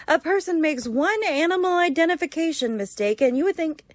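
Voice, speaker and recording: A woman's voice: extremely high pitch, lively intonation, brisk, no room sound. A woman playing a defensive, daffy character in an audio comedy skit. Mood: indignant. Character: defensive, daffy young woman with an emotional support duck.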